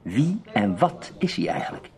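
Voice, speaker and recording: A man playing a cool amateur investigator in a radio drama, questioning in a terse, measured manner. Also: mid-range voice, very animated, a crowd in the background. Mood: serious, decisive. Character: cool, probing male amateur investigator named Tim.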